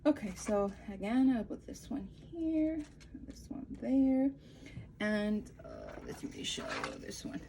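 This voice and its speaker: A woman with an Egyptian accent talks casually through a home craft tutorial, her speech full of fillers and restarts as she hunts for English words. Her voice sits at an ordinary middle pitch. Faint room noise hums under the camera mic. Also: wildly swooping pitch, slow, gravelly, mellow, low-quality recording. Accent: Egyptian Arabic. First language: Arabic. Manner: hesitant, searching for words with many fillers. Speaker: craft vlogger.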